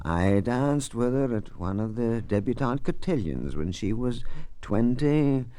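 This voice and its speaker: An older man acting in a 1940s radio mystery as a wealthy, grieving old gentleman with courtly, theatrical phrasing. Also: mid-range voice, expressive, a little slow, no echo, natural voice. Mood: courtly, solicitous.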